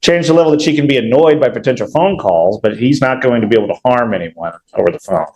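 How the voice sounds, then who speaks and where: A man with a medium-pitched voice addresses a court as defense counsel. He explains things in measured, careful phrases, with some halting pauses. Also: expressive, darkish tone, low-quality recording. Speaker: defense attorney. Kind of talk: courtroom argument. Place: indoor courtroom.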